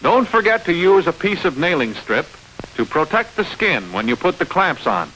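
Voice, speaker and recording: A man reading scripted instructional narration for a training film, explaining step by step in a clear manner. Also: fairly high pitch, sing-song, moderate pace, sharp tone, low-quality recording. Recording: hissy old training film soundtrack.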